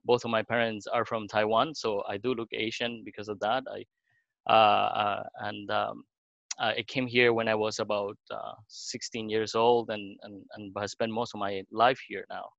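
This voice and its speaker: A middle-aged man from Argentina, a pastor, sharing his story in a halting way with many fillers and restarts. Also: on the low side, flat delivery, gravelly, fairly bright tone, no room sound, dull audio. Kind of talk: panel talk.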